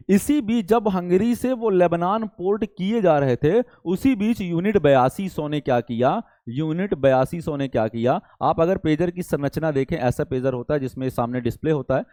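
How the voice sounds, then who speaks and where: A high-voiced man delivers an explanatory lecture, recorded close and dry. He speaks as an exam-prep teacher, didactic and repetitive, checking understanding with rhetorical questions. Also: a little mellow, no echo. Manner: didactic, repeats key points and asks rhetorical questions.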